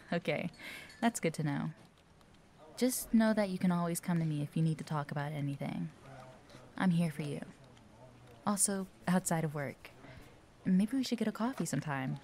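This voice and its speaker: Friendly, curious female office colleague, played by a woman, lower-pitched, chatty and supportive, for audio roleplay. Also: normal speed, long pauses, mellow, close to an everyday voice. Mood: caring, curious.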